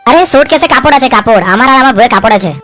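A woman's voice: sits high, expressive, racing, fairly bright tone, soft background music. A woman voicing a wily, cheeky male sidekick in a comic cartoon, chattering, argumentative and coarse-mouthed. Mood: evasive. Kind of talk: comic cartoon dub dialogue.

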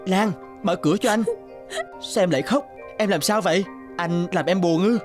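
A man's voice: sits high, moderate pace, over music, close to an everyday voice. A man voicing an earnest, anxious young suitor in a cartoon, speaking a little hesitantly. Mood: anxious pleading. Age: young adult. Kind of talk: cartoon voice acting.

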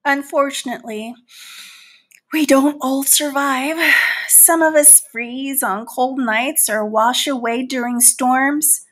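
Woman as an indignant, dramatic storybook housefly, bright-voiced. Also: sits high, steady tone, takes it slowly, heavily acted. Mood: proud, explaining. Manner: theatrical, pleading, mock-wounded and boastful. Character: indignant, dramatic housefly, proud yet easily hurt. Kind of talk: storybook read-aloud in character.

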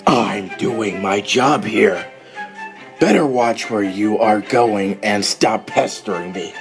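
A man voicing a brooding, haughty, edgy cartoon hedgehog antihero in a fan comic dub, curt and drawn-out. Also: on the low side, over music. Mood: cold, annoyed.

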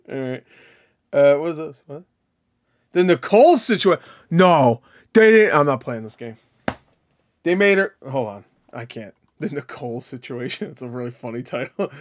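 A man's voice: a little high, expressive, measured pace, long pauses. A man in his thirties giving casual, profane reaction commentary in a joking, sarcastic, exclamatory way. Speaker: reaction video host. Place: small furnished room at home, indoors. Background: game trailer music and sound.

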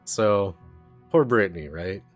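A man with a fairly low voice co-hosts a casual film podcast. He muses in halting phrases, with faint music in the background. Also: lively intonation. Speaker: podcast co-host.

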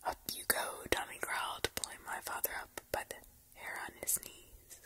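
A woman narrating a horror story entirely in a steady, hushed whisper. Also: normal speed.

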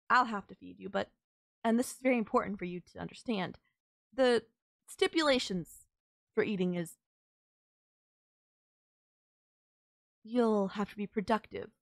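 Woman acting as obsessed female captor, creaky voice, dry close sound, teasing, controlling, audio drama. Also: medium pitch, no echo, natural voice. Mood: coaxing, controlling. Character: sultry, possessive, gloating female kidnapper obsessed with her captive. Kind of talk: intimate roleplay audio drama.

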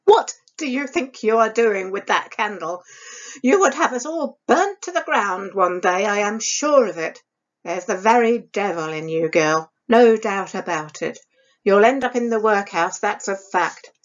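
A woman's voice: medium pitch, lively intonation, measured pace, lo-fi sound, lightly acted. A woman voicing a stern, bitter stepmother in a storybook reading, harsh, reproachful and emphatic. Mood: scolding.

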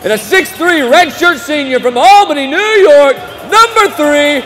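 A young American man working as a public address announcer at a basketball game, with a booming showman delivery and drawn-out names. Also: expressive, soft background music. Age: young adult. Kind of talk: arena sports announcing. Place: courtside in an indoor basketball arena. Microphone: desk microphone on a short stand. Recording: echoing arena PA with loud crowd noise. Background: crowd noise and arena ambience.

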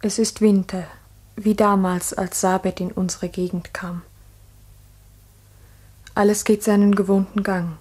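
Husky, mellow village schoolteacher. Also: female voice, medium pitch, flat delivery, measured pace, pauses often. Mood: pensive. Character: pensive village schoolteacher recounting strange events. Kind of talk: 1960s radio play narration.

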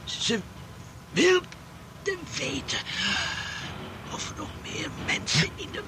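Dying wounded man, weak, gasping, slow, high swooping pitch, pleading, radio play. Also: animated, noisy background, exaggerated voice. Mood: delirious. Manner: halting, repetitive, pleading. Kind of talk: radio drama acting.

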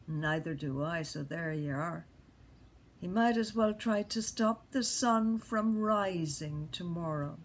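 A woman's voice: on the low side, slight background noise. A woman performing a restless, earthy wife who lies awake musing, in a theatrical stream-of-consciousness monologue. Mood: scornful, then tender, wistful.

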